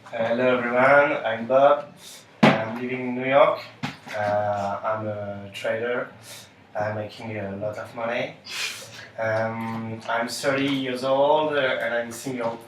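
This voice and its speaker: A man giving short, flat statements in a focus group. He sounds far off in a very echoey room with noise behind him. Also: medium pitch, takes it slowly.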